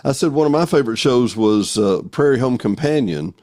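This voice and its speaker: Guy hosting a chat, regular pitched voice, really up and down, casual. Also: animated, brisk, darkish tone. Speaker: interview host.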